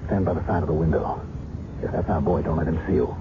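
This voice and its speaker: An actor playing a dogged, businesslike police sergeant in a 1970s radio drama. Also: male voice, extremely low pitch, steady tone, fast. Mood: tense, watchful. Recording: muffled noisy 1970s radio recording.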